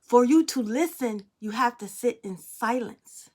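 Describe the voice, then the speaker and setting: A young adult woman with a fairly high voice talks to camera as a spiritual reader. She is blunt and emphatic, with free swearing, in a loose, casual monologue. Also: a little slow, a little mellow. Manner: blunt, emphatic, freely profane.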